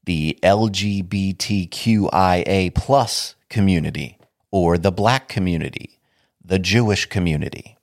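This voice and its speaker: A man with a low, deep voice hosts a podcast on his own. He talks in a casual, wry way and sounds a bit sniffly from allergies. The sound is close and dry, with no room around it. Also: dry recording. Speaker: podcast host. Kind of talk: solo podcast monologue.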